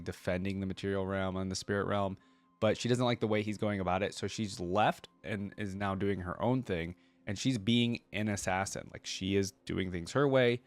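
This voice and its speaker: A man's voice: lowish voice, dry recording. A man hosting a reaction video, reading lore aloud and adding casual commentary as he thinks aloud.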